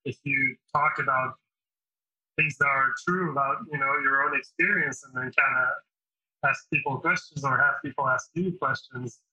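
A man's voice: medium pitch, steady tone, moderate pace, pushed and bright, husky. A man chatting casually in an interview, telling long anecdotes with many fillers. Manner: chatty, storytelling, lots of fillers. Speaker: drummer, interview host. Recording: dull lo-fi sound.

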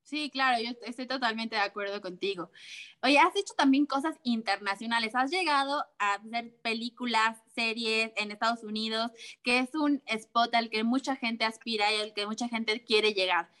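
A woman hosting an interview asks her guest questions in an agreeable, encouraging way. Her voice sits a little high and sounds very bright. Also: normal speed. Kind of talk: casual interview.

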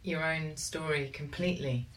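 A woman's voice: low-pitched, steady tone, normal speed. A woman interviewing a guest in a reflective, conversational style. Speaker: podcast interviewer. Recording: distant mic, background noise.

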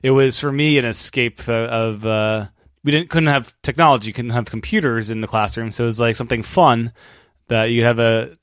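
A man's voice: medium pitch, fairly even intonation, moderate pace, smooth, dry recording, studio quality. An American man talking as a podcast host, in a conversational, slightly wordy way. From United States. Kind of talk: podcast intro and interview chat.

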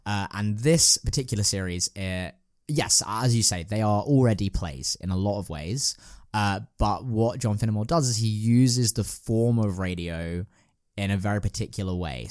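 A male podcast host with a fairly low voice, recorded very dry. He talks casually and explains things in a rambling, enthusiastic way, as in an informal chat about plays. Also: moderate pace, a little mellow, dry recording.

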